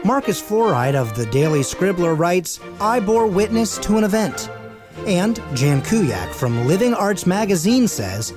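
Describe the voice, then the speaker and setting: A man reading a scripted, dramatic advertisement for an arts event. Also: on the high side. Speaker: advertisement announcer.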